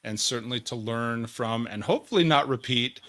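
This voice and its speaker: Excitable guy hosting a podcast, bright forceful voice, super dry mic. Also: mid-range voice, normal speed, no room sound. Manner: enthusiastic, energetic, repeats words. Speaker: podcast host. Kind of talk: upbeat interview podcast.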